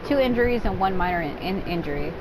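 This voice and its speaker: A woman's voice: on the low side, animated, fast talker, noisy background. A woman reading game story text aloud, stumbling over words now and then and adding her own asides. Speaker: gaming YouTuber.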